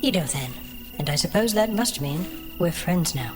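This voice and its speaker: A woman playing a cautious but friendly elf traveller in a fantasy audio drama, speaking in a measured, polite way. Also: fairly low pitch, expressive, over music, lightly acted.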